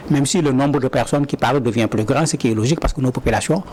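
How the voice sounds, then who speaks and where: A man's voice: mid-range voice, quick pace, darkish tone. A man answering interview questions earnestly, often repeating words as he starts a sentence. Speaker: interview guest.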